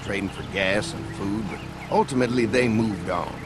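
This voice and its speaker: An actor playing a friendly, easygoing rural dairy farmer with a folksy Southern US drawl in a video game. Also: male voice, on the low side, normal speed, over loud music, natural voice. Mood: affable.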